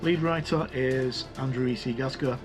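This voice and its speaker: A man's voice: medium pitch, fairly even intonation, normal speed. Man reviewing casually over background music. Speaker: video reviewer. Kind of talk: casual tabletop game product review.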